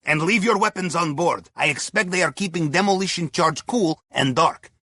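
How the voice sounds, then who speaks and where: An actor playing a wry, upbeat heist planner in a video game, with a Russian accent, a husky voice and folksy, article-dropping speech. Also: male voice, fairly high pitch, moderate pace, sharp tone, no room sound. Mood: wry, businesslike. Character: wry, upbeat male submarine captain's sidekick and heist planner. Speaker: mission guide. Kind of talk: scripted video game voice lines.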